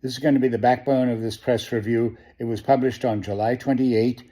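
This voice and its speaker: A man from New York City talks through a press review, mid-pitched and conversational. He often pauses on "uh" and circles back to restart his sentences, giving it a loose, unscripted feel. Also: steady tone, warm tone. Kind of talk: casual spoken press review.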